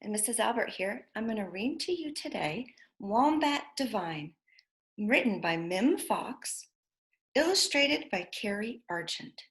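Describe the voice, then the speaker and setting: A woman reading a picture book aloud to young children with expressive storytelling. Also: medium pitch, measured pace. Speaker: preschool storyteller. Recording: echoey room.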